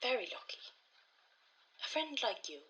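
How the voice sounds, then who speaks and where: An excitable, grateful older woman, acted by a woman for a radio play voicemail. Her voice is high and very lively, with a bright edge, as she talks fondly to a friend. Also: moderate pace, rough recording. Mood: excited, grateful. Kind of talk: radio comedy-drama voicemail monologue.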